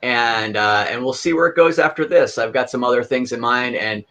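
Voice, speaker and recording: A man's voice: mid-range voice, normal speed. Easygoing man guest on a podcast. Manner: relaxed, easygoing, laughs often. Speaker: guest, fan film creator. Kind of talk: casual interview chat.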